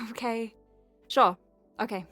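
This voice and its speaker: An actress playing an earnest, awkward young clinic doctor in an audio drama, speaking hesitantly and naturally with self-corrections. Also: female voice, a little high, fairly even intonation, slow, pushed and bright, faint music behind, exaggerated voice. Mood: hesitant, amused. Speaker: doctor dictating patient letters.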